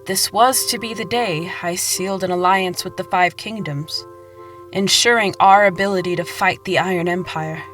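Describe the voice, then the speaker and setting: Casting: woman as calculating queen mother, lower-pitched, formal fantasy drama delivery, soft music beneath. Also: steady tone, moderate pace, close to an everyday voice. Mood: formal, reproachful. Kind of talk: fan dub drama acting. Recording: light music behind the voice.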